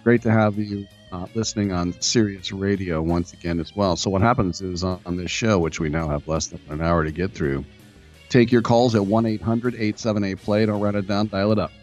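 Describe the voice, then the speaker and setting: Raspy guy hosting radio, low voice, rambly, bit of music under him. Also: fairly even intonation, a little fast, a little mellow, dull audio. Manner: chatty, rambling with fillers. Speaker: radio show host. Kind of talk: live radio talk show.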